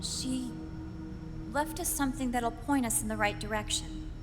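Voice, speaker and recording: An actress playing a composed, authoritative military commander, speaking formally and firmly in a video game drama. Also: female voice, a little high, moderate pace, music in the background, close to an everyday voice. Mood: firm, serious.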